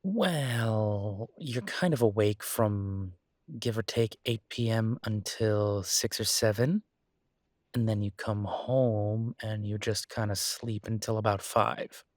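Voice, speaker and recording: A man's voice: on the low side, slow, darkish tone, no echo, studio quality. A man playing an easygoing, supportive boyfriend in an intimate one-sided roleplay audio, talking casually and reassuringly with folksy turns of phrase. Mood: reassuring, matter-of-fact.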